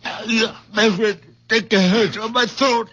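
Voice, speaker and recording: An old farmer who sees visions, in a high, earnest voice. He is gentle yet fervent, with hesitant, pleading phrasing in the manner of a 1970s radio play. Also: steady tone, slow, muffled recording, noisy background. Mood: entranced, insistent. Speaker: radio drama actor. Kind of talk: 1970s radio drama acting.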